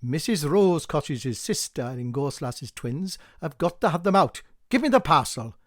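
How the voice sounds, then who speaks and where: Man playing a lively gossipy village postman. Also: on the high side, normal speed, dry recording, clean studio sound. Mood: chatty. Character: gossipy village postman delivering letters and news.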